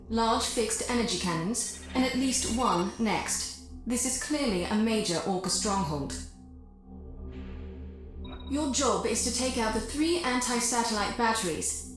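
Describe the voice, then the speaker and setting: An actress playing an earnest, formal mission operator who gives measured military briefings in a video game. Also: female voice, on the high side. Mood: formal, resolute. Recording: very echoey room, loud music behind.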